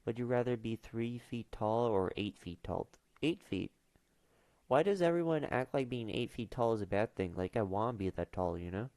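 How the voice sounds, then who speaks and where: A man's voice: medium pitch, expressive, normal speed, dark tone, no echo. A teenage boy streaming casually, mumbling and trailing off with fillers as he reads questions aloud. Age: teens. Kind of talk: casual livestream chat.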